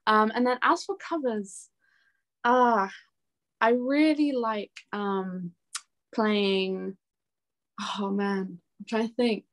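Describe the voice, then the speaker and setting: A woman's voice: medium pitch, steady tone, slow pace, pauses often. A woman in her twenties chatting casually in an interview, effusive and self-deprecating, with a giggly way of talking. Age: 20s. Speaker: singer-songwriter being interviewed.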